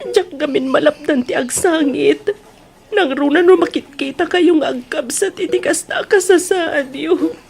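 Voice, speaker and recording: A woman's voice: high voice, a little slow, creaky, a little mellow. A woman playing a loving, anguished mother in a radio drama, with a plaintive, emotional delivery. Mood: sorrowful, tender. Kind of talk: tearful radio drama acting. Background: music.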